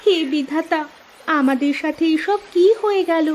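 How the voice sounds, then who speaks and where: Woman acting a gloomy worrying sister, high voice, plaintive complaining manner, cartoon dialogue, some background noise. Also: a little slow. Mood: lamenting. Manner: worried, lamenting, complaining. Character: anxious, gloomy sister fretting over the household. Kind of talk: scripted cartoon story dialogue.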